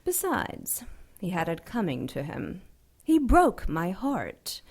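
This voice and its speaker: A woman playing a seductive, vain ancient dark angel in an audio drama, teasing and theatrical, savoring her words with amused menace. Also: medium pitch, huge pitch swings, slow, slightly rough, full character voice. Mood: mock innocent.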